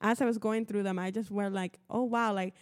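A woman's voice: medium pitch, fairly even intonation, brisk. A young woman hosting a casual interview, chatting in an agreeable, giggly and slangy way. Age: young adult. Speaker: interviewer, music producer.

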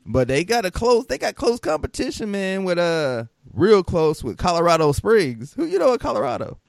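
A man's voice: sits high, very animated, normal speed, vocal fry, no room sound, studio quality. Slangy laid-back male podcast co-host. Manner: loose slangy storytelling, lots of fillers. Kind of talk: casual chat.

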